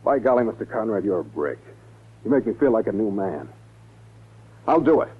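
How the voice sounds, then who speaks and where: Nervous ex convict man, 1940s radio acting, earnest n hesitant, rough voice, tinny sound. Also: lowish voice, sing-song, brisk. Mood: grateful, eager. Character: troubled, anxious ex-convict in love, easily swayed.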